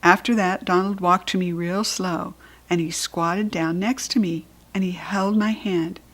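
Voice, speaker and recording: A woman with an American accent narrating a children's storybook expressively, with animated, dramatic emphasis. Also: on the low side, unhurried. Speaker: storybook reader.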